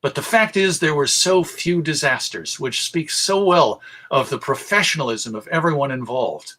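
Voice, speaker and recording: A man presents a history talk in a conversational, storytelling manner. His voice is fairly high and bright, with emphatic delivery. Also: expressive, normal speed. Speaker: presenter, radio show host. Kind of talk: illustrated history talk to an audience.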